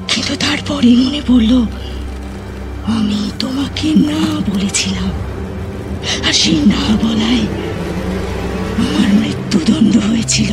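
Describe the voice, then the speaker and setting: A woman plays a murdered woman's ghost in an audio drama. Her voice sits high, haunting and full of sorrow and reproach. She laments with theatrical pauses, and music plays clearly behind her. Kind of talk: audio drama acting in Bengali.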